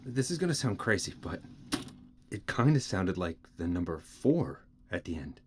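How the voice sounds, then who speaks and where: A woman's voice: a bass voice, sing-song, normal speed, a little mellow, a little hiss or hum. A woman acting in an audio drama as a hesitant but clever tinkering friend, thoughtful in manner.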